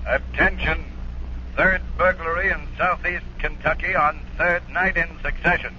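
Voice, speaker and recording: An actor playing an urgent police bulletin announcer, reading in clipped phrases in 1940s radio style. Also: male voice, on the high side, steady tone, a little slow, natural voice. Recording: muffled, noisy old radio recording.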